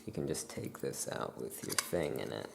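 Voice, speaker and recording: A soft-spoken ASMR roleplayer talking gently and in a hushed, unhurried way as a witch shopkeeper. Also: very deep voice, expressive, gravelly, very noisy.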